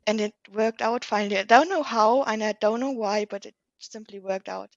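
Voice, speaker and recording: A woman's voice: mid-range voice, normal speed, no echo, highs cut off. A young woman in her late teens whose first language is German, a podcast guest speaking English in a modest, slightly hesitant way. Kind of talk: casual conversational interview.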